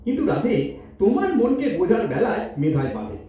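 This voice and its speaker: A man plays a playful young god in love, high-voiced for a man and theatrical, speaking in lilting rhymed verse. He sweet-talks and stammers his protests in a stage audio drama, heard distantly in a very echoey hall. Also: sing-song, a little fast. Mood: sweet-talking. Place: large multipurpose hall, on stage. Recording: echoey hall, distant mic, dull sound.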